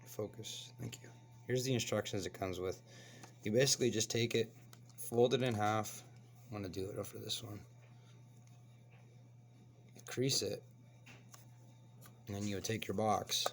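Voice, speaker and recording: Hoarse, gravelly-voiced man reviewing a toy. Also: on the low side, noisy background. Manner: relaxed, chatty, explains as he handles the toy. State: hoarse, getting over a cold. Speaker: toy reviewer, channel host. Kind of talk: casual unboxing review.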